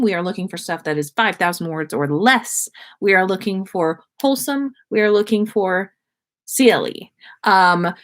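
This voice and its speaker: A woman hosting a livestream in casual chat, rambling and effusive, with slangy talk and free swearing. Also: medium pitch. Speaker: podcast host.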